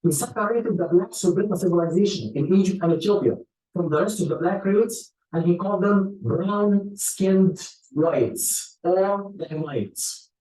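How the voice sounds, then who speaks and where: A man with a fairly high voice lectures in a halting way, stumbling over repeated words and fillers. He sounds far from the microphone in an echoey room, like an old archive clip. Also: dark tone. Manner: hesitant, many fillers and repeats. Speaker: scholar being interviewed. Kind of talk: lecture-style explanation.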